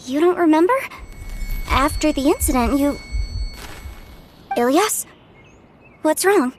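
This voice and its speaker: Bright protective big sister, high and bouncy voice, faint ambience. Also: female voice, lively intonation, a little hiss or hum. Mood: caring. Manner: brisk, warm-hearted and protective. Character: caring young older sister, brisk and affectionate.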